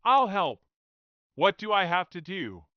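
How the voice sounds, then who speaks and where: Storybook boy of seven, acted by a man: curious, earnest, wide-eyed, pitched high and animated. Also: unhurried, no room sound, lo-fi sound. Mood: resolved.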